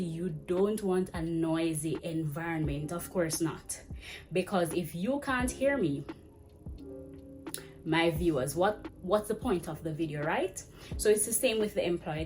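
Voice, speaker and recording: Fast-talking woman, lowish voice. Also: low-quality recording. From Jamaica. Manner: chatty, fast-talking, animated with hand gestures. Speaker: vlogger and ESL teacher. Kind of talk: casual advice vlog. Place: indoors, small room with plain wall. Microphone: camera framing head to chest.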